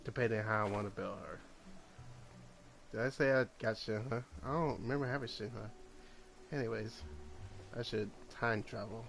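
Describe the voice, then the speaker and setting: A man casually commenting over his gameplay, talking in a halting way with many fillers and restarts. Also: lowish voice, creaky, a bit bright, slight background noise. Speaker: gameplay streamer.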